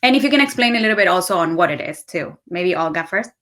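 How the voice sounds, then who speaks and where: A woman in her late thirties speaks in a low voice with a clear Argentine Spanish accent. She is leading a casual panel discussion, talking in a conversational way. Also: lively intonation, fast, a bit bright. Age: late 30s. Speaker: panel facilitator, marketing executive.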